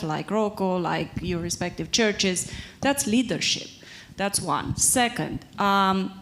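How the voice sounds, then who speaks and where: A woman's voice: medium pitch, a bit bright, slight background noise. A woman on a live panel, making her points one after another in an emphatic way. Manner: emphatic, makes points in sequence. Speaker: panel speaker.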